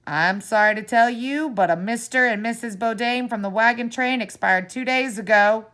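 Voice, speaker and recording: A woman's voice: a little high, normal speed, a bit bright, natural voice. Woman reading as an impatient office clerk. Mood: curt. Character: impatient, curt man, a quarantine office clerk.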